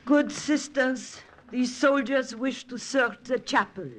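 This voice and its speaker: An actress playing a calm, authoritative Mother Superior of a convent in a 1940s radio drama, speaking formally. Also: sits high, slow, slight background noise. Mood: firm, formal.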